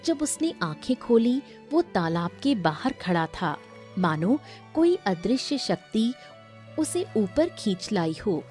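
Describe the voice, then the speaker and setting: Slow, mellow woman story narrator. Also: mid-range voice, very animated, faint music behind.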